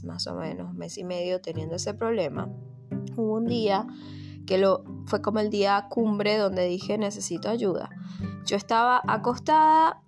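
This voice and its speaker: A woman from Venezuela with a Venezuelan accent, telling a personal story in a reflective way, pausing and repeating words as she thinks. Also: mid-range voice. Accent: Venezuelan Spanish. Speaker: vlogger. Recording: guitar music underneath.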